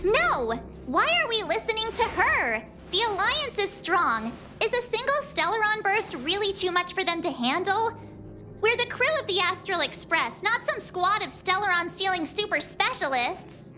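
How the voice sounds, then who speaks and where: An actress voicing a brash, perky and skeptical young girl crew member in a video game, animated and sarcastic. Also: very high-pitched, normal speed, full character voice. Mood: skeptical, indignant. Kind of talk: English video game voice acting. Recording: music under the voice.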